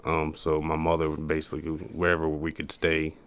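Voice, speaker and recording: A man in his early forties from the eastern United States, telling his own story as an interview guest in a halting, plain-spoken way. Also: extremely low pitch, monotone, a bit bright. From Baltimore area, United States. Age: early 40s. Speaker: podcast guest, peer support specialist.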